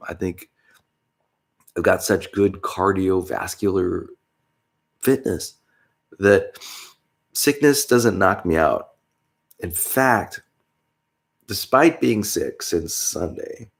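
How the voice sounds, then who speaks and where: Congested man with a low, gravelly voice. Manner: rambling, with many fillers and self-corrections, chuckling. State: congested, stuffy nose. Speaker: vlogger. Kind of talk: casual rambling monologue.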